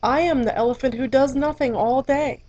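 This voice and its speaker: Woman puts on a shy, idle male elephant for a storybook read-aloud: lower than her own voice, hushed, near whisper. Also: moderate pace. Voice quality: low, hushed, at times whispered. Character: shy, quiet male elephant who loves idleness. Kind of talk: storybook reading. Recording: dull lo-fi, dry.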